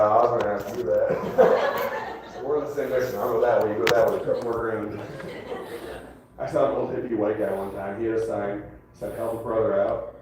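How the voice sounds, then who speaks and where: Low-voiced comic trading dry one-liners. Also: male voice. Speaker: stand-up comedian. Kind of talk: stand-up comedy set. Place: comedy club stage. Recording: echoey club from a distance with noise.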